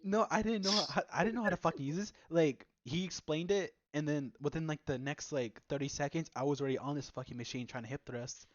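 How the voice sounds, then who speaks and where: A young man telling stories in a casual, sweary chat with friends, halting and defensive as he banters. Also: a little high, dull audio. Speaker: podcast host.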